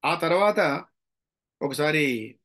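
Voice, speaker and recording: Telugu guy, middle aged, bit higher pitched, teaching like a trainer, chatty, throws in english bits. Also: animated, drawn-out words, a bit bright. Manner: conversational, rhetorical questions, mixes in English phrases. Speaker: public speaking trainer. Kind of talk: informal lecture with acted examples.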